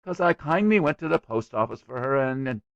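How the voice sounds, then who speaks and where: A dogged little storybook schoolboy, voiced by a male narrator, full of self-importance. He talks in earnest, colloquial bursts with a swooping pitch, close and dry. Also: animated, fairly quick, gravelly, no echo, muffled recording. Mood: meek, indignant. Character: stubborn, self-important young schoolboy with excuses.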